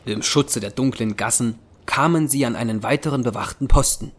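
A man's voice: mid-range voice, brisk. A man narrating an audio drama in a scripted, dramatic storytelling style. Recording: voice under loud music.

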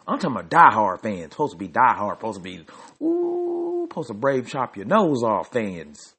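Animated husky man ranting on a podcast. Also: medium pitch, normal speed. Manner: animated, repetitive, joking. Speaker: podcast host. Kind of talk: comedic sports rant.

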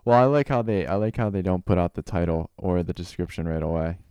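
A young man hosting a podcast, chatting in a relaxed way and often repeating himself. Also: low voice, fast talker, smooth, no room sound. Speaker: podcast host. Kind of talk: casual podcast chat.

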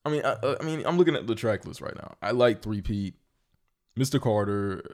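A man with a lowish voice talks through his opinions in a casual conversation. He builds his points step by step, measured and explanatory. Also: animated, darkish tone. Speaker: podcast co-host. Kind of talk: casual music debate.

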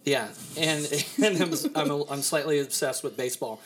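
A man's voice: a little high, normal speed, background noise. A man from Kansas City talks loosely as the host of a casual podcast. He rambles, cracks jokes and swears without a second thought. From Kansas City, Missouri. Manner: rambling, joking, swears freely. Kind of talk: casual chat.

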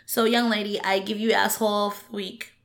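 A woman co-hosting a casual podcast chat, her voice hoarse, blunt and sassy, calling people honey. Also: medium pitch, level tone, brisk, fairly bright tone. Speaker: podcast co-host.

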